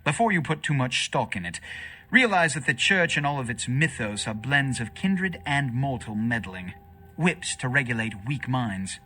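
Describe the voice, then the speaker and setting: A man's voice: medium pitch, big pitch swings, normal speed, sharp tone. A man playing an erudite, condescending, formal vampire who speaks pompously in a video game.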